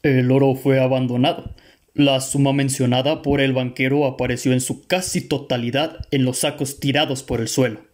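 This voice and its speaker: A man's voice: medium pitch, little pitch movement, normal speed, darkish tone, natural voice. A man with a Mexican accent playing an attentive, puzzled investigator in a radio drama, speaking in formal literary dialogue. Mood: factual. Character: attentive male investigator, puzzled, summing up clues.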